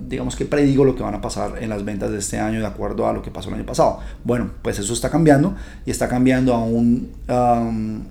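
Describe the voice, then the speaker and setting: Gravelly-voiced man hosting a podcast. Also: medium pitch, fast talker, few pauses, warm tone. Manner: chatty and upbeat, addressing listeners directly. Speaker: podcast host. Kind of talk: casual podcast monologue.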